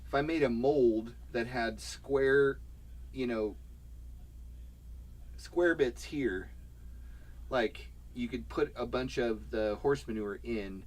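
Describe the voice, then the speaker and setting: A man's voice: mid-range voice, slow pace, pauses often. A man co-hosting a casual homestead livestream, rambling playfully and laughing as he talks. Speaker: livestream co-host.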